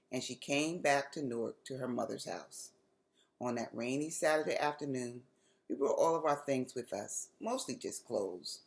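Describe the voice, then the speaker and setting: Very deep-voiced American woman reading a story. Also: gravelly. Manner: deliberate, dramatic phrasing. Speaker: author reading aloud to children.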